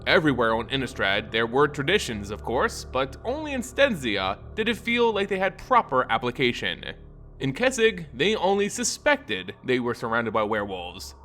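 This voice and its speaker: A man giving a dramatic, scripted narration of a dark fantasy story in a solemn, theatrical way with deliberate phrasing. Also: mid-range voice, huge pitch swings, faint music behind.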